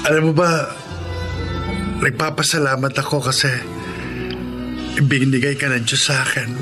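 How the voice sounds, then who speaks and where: A man playing a gentle, devoted and earnest sweetheart in a Filipino radio romance. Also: mid-range voice, lightly acted. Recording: music bed under the voice.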